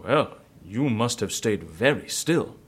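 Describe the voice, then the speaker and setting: Animated, husky, cynical male friend. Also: fairly low pitch, slow pace, exaggerated voice. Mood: curious, wry. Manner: animated, incredulous, teasing. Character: cynical, amused male friend egging on a politician. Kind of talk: audio comedy drama acting.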